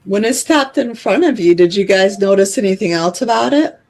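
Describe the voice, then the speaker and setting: A woman calmly guiding someone through a hypnotic regression with steady, directive prompts. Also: medium pitch, moderate pace. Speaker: regression facilitator.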